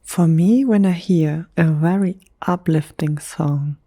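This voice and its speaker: A German woman, a native German speaker talking in English, whispering softly in a gentle ASMR chat. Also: low voice, no room sound. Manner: soft, slow, unhurried whisper with gentle pauses. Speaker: ASMR creator.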